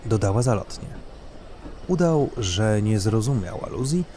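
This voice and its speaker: Casting: man for dramatic fiction narration, deepish and expressive, over clearly audible background noise. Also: a little slow. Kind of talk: scripted dramatic story narration.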